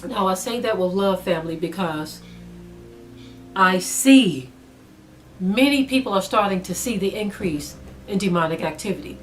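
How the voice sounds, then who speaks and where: A woman preaching a sermon to an online audience, earnest and exhorting, slowing down to stress her points. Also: mid-range voice, expressive, faint music behind. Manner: earnest, exhorting, slow and emphatic with rising intensity. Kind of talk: spoken sermon to a video audience.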